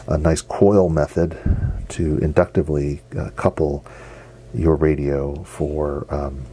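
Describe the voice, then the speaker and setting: A man giving a casual hands-on demonstration, explaining in a halting way as a hobbyist video host. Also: deep voice, mellow, husky. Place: indoors at a wooden desk. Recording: faint hum and background noise.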